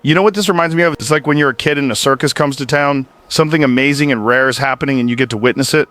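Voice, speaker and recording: A man's voice: medium pitch, very fast, a bit bright, lightly acted. A man playing a laid-back, curious podcast host in an audio drama, talking in a chatty, musing and informal way. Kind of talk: casual podcast interview in a scripted audio drama.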